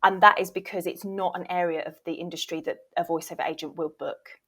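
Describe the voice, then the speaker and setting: Low-pitched woman, emphatic explainer. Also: steady tone, a little fast, fairly bright tone, breathy edge. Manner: emphatic, often voices imagined questions from actors. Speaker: interview guest, voice reel producer and former voiceover agent. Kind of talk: conversational interview explanation.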